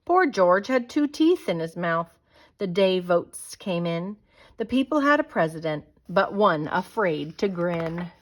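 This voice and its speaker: A woman reading a children's picture book aloud as a storyteller, with dramatic, deliberate delivery. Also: fairly low pitch, big pitch swings.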